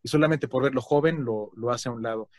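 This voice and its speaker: A man's voice: medium pitch, quick pace, no room sound. Mexican guy hosting a chat, lots of fillers and little questions, dry sound. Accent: Mexican Spanish. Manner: chatty, frequent fillers and tag questions. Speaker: host. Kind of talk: casual conversation.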